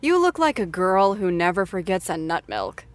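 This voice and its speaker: A woman's voice: fairly low pitch, sing-song, normal speed. A woman hosting a playful after-show interview, effusive and teasing with her guests.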